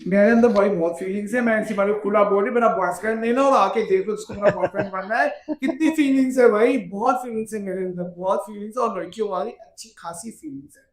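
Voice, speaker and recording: A woman bodybuilder with a naturally deep, heavy voice, speaking bluntly and defiantly as an interview guest. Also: quick pace, hardly any pauses. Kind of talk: candid interview talk.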